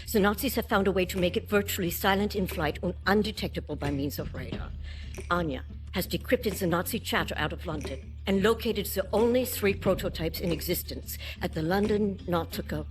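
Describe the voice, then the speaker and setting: A woman's voice: on the low side, close to an everyday voice. A woman playing a commanding, weary but determined resistance leader in a video game cutscene. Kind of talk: cinematic video game acting. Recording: music behind the voice.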